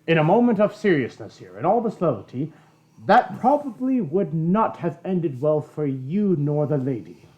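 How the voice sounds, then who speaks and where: A man's voice: a little high, sing-song, moderate pace, warm tone, dull audio. A man playing a sassy, cheeky celestial dragon who co-hosts a livestream with improvised, playfully indignant comic banter. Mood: wry, cheeky. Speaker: livestream co-host.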